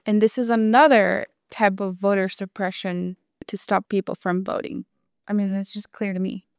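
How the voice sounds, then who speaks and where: A woman's voice: medium pitch, fairly even intonation, normal speed, vocal fry, smooth, no echo, clean studio sound. A woman whose first language is Spanish, speaking candidly and outspokenly on a panel. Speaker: panel guest, voter organizer. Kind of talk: conversational panel discussion.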